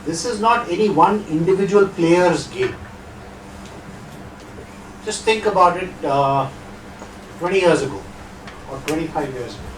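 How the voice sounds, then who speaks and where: A man's voice: a little high, animated, measured pace, long pauses, slight background noise. A middle-aged man with an Indian accent giving a lecture and answering questions in a conversational way, with rhetorical asides. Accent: Indian English. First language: not Hindi. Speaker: guest lecturer. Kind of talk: lecture with audience Q&A. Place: lecture hall. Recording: echoey hall, distant mic.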